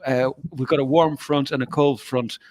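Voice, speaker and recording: A tired man with a mid-pitched voice, recorded in a dry, close setting. He co-hosts a live stream and rambles loosely, filling every pause with "like" and "you know". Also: fairly even intonation, a little mellow, no room sound. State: tired, worn out. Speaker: co-host. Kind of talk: casual live-stream chat.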